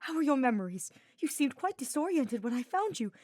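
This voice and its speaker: Earnest male holy knight, acted by a woman: anxious, courtly wording, raised pitch, fantasy storybook. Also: very animated, brisk, a little mellow, no room sound. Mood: frantic worry. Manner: formal, fretful, emphatic diction.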